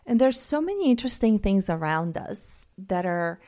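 A woman's voice: medium pitch, sing-song, normal speed, a little mellow. Bulgarian-raised woman talking with enthusiasm. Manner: earnest, enthusiastic, listing examples. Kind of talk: reflective casual talk.

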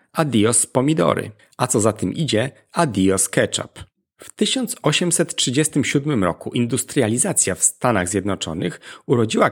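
A man narrates a scripted educational podcast as its host. His voice is mid-pitched and husky, with a fair amount of creak in it. He explains things directly to the listener.

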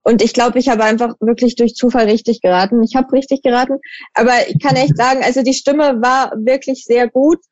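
Inquisitive woman hosting, slightly raised pitch. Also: steady tone, a little fast, a little mellow, no room sound, lo-fi sound. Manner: inquisitive, summarizing questions. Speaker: podcast host. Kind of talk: interview. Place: lives in Switzerland for almost ten years.

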